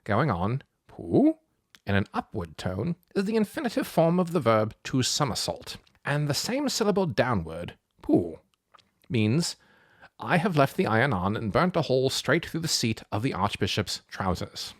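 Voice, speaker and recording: A man voicing a polite, pedantic boy scholar in a storybook, wordy and old-fashioned in his gentlemanly phrasing. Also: medium pitch, very animated, moderate pace, dry recording, studio quality. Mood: lecturing. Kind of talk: storybook character voice.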